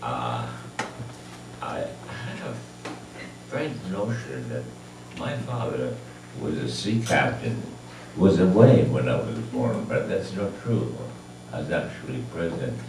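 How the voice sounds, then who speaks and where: A hundred-year-old man from Egypt, reminiscing unscripted to an audience in a halting way, searching for his words. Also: deep voice, very animated. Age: 100. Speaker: honoree at a birthday tribute. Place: large echoey room with an audience. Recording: echoey room, distant mic, background noise.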